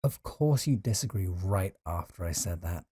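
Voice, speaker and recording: A man's voice: deep voice, animated, moderate pace, mellow, husky, no room sound, clean studio sound. A man acting a possessive, lovesick young man in an intimate audio roleplay, talking in a casual, teasing, conversational way with sighs. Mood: fond, teasing. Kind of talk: intimate audio roleplay drama, one side of a call.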